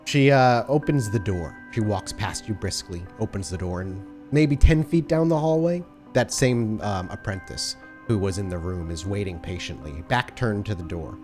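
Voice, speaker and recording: A man's voice: fairly low pitch, moderate pace, few pauses, a little mellow. A man narrating an improvised tabletop role-playing game as its game master. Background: background music.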